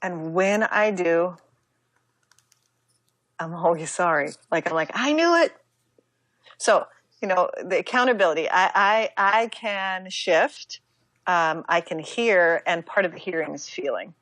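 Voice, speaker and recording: An American woman speaking as an interview guest, talking reflectively in a halting, casual way with many asides. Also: on the low side, a bit bright, no room sound. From United States. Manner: reflective, halting, with fillers and self-quoting asides. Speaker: interview guest, yoga teacher and author.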